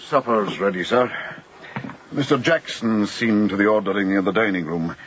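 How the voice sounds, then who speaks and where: A man's voice: on the low side, moderate pace, background noise, natural voice. A man acting an older, opinionated first mate in a radio drama, with a fussy, emphatic and ponderous way of speaking. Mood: dutiful, plain. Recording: dull, lo-fi radio drama recording.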